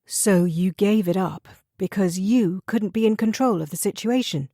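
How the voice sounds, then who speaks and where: A woman's voice: lowish voice, moderate pace, a little mellow, no room sound, studio quality, close to an everyday voice. A woman reading an audiobook, voicing a young woman who asks pointed, tart questions. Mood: challenging. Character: sharp, challenging young woman investigator.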